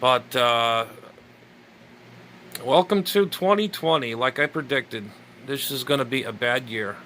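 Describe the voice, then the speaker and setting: Bright-voiced grumbling man, halting and casual. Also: mid-range voice, a little hiss or hum. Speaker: amateur radio vlogger. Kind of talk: rambling casual reaction commentary.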